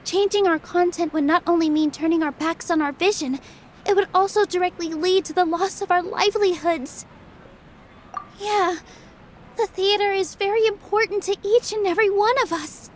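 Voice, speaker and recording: An actress playing a gentle, earnest young theater performer in a game, speaking sincerely. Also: female voice, very high-pitched, normal speed, a little hiss or hum. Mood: earnest, worried. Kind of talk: English game dub voice acting.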